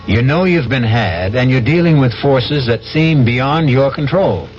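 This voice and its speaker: A man hosting a radio drama with ominous, deliberate scripted narration. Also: medium pitch, lively intonation. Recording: dull vintage radio broadcast with music.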